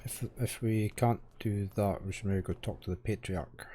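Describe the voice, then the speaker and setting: A man streaming a game, chatting casually and musing aloud, his voice a bit croaky. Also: on the low side, normal speed, a little hiss or hum. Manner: relaxed, chuckling, musing aloud. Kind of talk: casual gameplay commentary. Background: game music.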